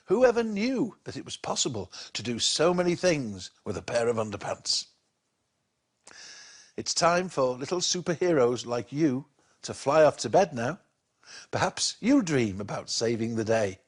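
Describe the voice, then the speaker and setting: A man's voice: medium pitch, very animated, unhurried, husky. A man presenting a bedtime story to young children in a storytelling style.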